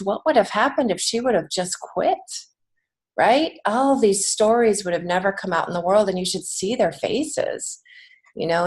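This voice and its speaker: An American woman speaking as a podcast guest in a conversational way, earnest and encouraging, pausing to reflect as she goes. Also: mid-range voice. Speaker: guest, children's book author and writing teacher.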